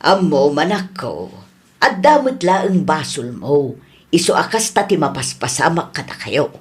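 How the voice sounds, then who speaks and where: A woman's voice: sits low, big pitch swings, a little slow. A woman playing a scolding older mother of a grown son in an Ilocano radio drama, with an Ilocano accent.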